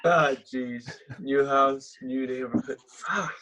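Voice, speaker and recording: A man's voice: mid-range voice, slow pace, sharp tone, slight background noise, rough recording. A man playing a cocky, blunt, self-absorbed housemate in a comedy table read. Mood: exasperated.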